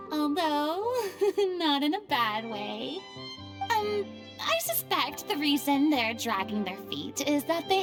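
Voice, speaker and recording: A woman playing a vain, easily flustered young stage celebrity in a video game, with a dramatic, haughty, deliberate delivery. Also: high-pitched. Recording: music behind the voice.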